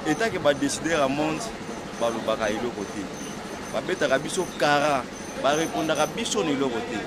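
A Congolese man with a fairly high voice gives his opinion in a street interview. He is emphatic and insistent, talking into a small mic outdoors with loud traffic noise around him. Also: fairly quick. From Democratic Republic of the Congo. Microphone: small wired lapel mic held near mouth.

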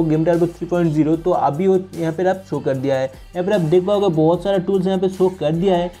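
A man's voice: high voice, warm tone. A young man narrating a casual phone tutorial as a tech channel host, explaining step by step in an instructional manner. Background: music.

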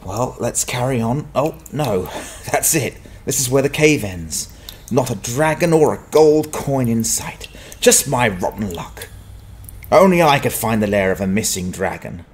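Hapless sword-for-hire played by a man, wry mutterer, fantasy audio drama, very varied pitch, faint background noise. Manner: muttering to himself, stammering, sardonic. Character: hapless, wry male down-at-heel adventurer.

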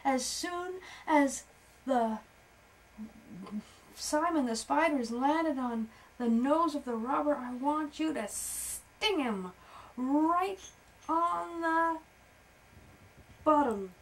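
A woman's voice: high voice, extremely slow, long pauses, voice pushed up, exaggerated voice, rough recording. Woman doing Bernie the Beetle, brave little bug. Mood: urgent. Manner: excited, urgent, raised voice. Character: small brave male beetle hero.

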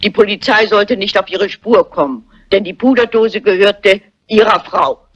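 An actress playing a shrewd, unflappable elderly lady amateur sleuth in a crime film, polite and matter-of-fact, with a husky voice. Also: mid-range voice, moderate pace, close to an everyday voice. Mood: accusing, composed. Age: older. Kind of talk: German dubbed crime film dialogue. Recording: dull, muffled old film soundtrack.

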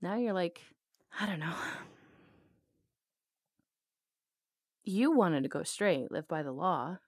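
A bold outlaw cowgirl played by a woman speaks low and mellow, very close and dry on the mic. She is sardonic, flirtatious and lovelorn, in the intimate style of an ASMR audio roleplay. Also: lively intonation, normal speed, no echo. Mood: incredulous.

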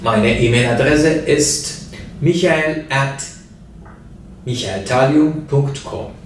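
A man in his forties teaching beginner German, saying each phrase slowly and clearly, in a small classroom with a whiteboard. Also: mid-range voice, a little hiss or hum. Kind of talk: slow listen-and-repeat language lesson. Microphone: camera mic from a few feet away. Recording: echoey room, mic at a distance.

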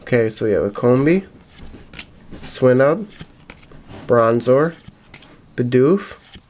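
A young man talks casually at a table at home, recorded on a camera mic with faint noise and crinkling wrappers. His voice is medium in pitch and slightly raspy, as if getting over a cold. He keeps a low-key, matter-of-fact manner as he comments on what he unpacks. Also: animated, slow, darkish tone. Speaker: YouTube host opening trading card packs. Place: indoors at a table in a home room. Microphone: camera mic at about arm's length. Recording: noisy room, card and wrapper crinkling. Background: crinkling packs, clicks, faint noise.

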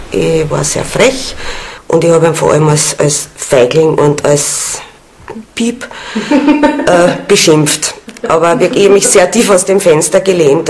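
A woman's voice: deep voice, a little mellow. A woman giving a spontaneous interview account as an amateur paranormal investigator. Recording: noisy location interview.

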